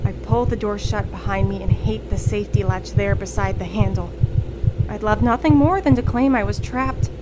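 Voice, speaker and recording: An actress playing a weary, defiant fast-food worker turning into a werewolf, narrating a horror audio drama with brooding intensity. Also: female voice, medium pitch, very noisy, natural voice. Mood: strained, hungry. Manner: intense, brooding, literary storytelling. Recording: loud music bed behind voice.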